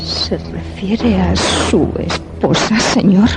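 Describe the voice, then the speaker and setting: An actress playing a frightened but firm young governess in a suspense radio drama, earnest and formally polite. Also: female voice, mid-range voice, slow pace, over music, natural voice. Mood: probing, tense. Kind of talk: Spanish-language suspense radio drama acting.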